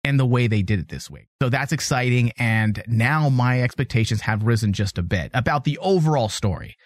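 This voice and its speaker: Animated male podcast co-host. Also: mid-range voice, brisk, dry recording. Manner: animated, teasing, laughs easily. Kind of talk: casual podcast chat.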